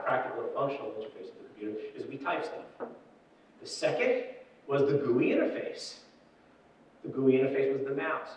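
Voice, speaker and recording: A middle-aged man gives a keynote on a dark ballroom stage and hammers home points by repeating them. He is heard from well back in the hall, so his voice rings off the walls with a long echo. The sound is dull and muffled, as if taken through the room's speakers. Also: medium pitch, far from the mic. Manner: emphatic, quick asides, repeats key phrases. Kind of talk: conference keynote talk. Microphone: room PA picked up by a far camera.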